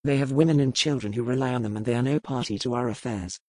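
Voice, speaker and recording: A stern, brooding pirate captain, as a man reads him in measured, formal old-style speech. The tone stays cold and deliberate at a middling pitch. It is recorded close and dry, with no room sound at all. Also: darkish tone, no room sound, lightly acted. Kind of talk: audiobook character reading.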